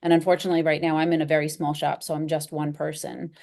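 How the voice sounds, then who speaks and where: A woman's voice: low-pitched, little pitch movement, fast talker, creaky, darkish tone. A woman speaking as an interview guest, candid and self-deprecating, laughing easily as she talks. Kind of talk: casual conversational interview.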